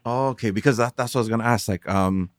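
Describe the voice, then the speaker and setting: A man's voice: lowish voice, fast, no room sound, clean studio sound. A man hosting an interview in an easygoing way, with short affirmations and fillers. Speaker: interview host. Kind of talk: casual interview chat.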